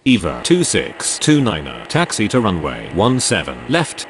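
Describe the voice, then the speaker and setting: A man's voice: fairly low pitch, huge pitch swings, a little slow. A man giving clipped, scripted aviation radio calls in an air traffic control game.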